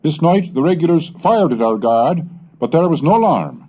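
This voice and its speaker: A man giving a measured, deliberate reading of an old diary aloud, slowing on the dates. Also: fairly high pitch, expressive, warm tone. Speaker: reader of historical texts. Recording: dull lo-fi 1970s cassette recording.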